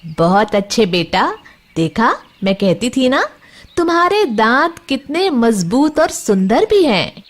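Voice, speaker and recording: A woman plays an encouraging mother elephant in a bedtime storybook. Her pitch moves expressively as she reassures. The manner is gentle and motherly. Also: big pitch swings, slow, full character voice. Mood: encouraging, proud.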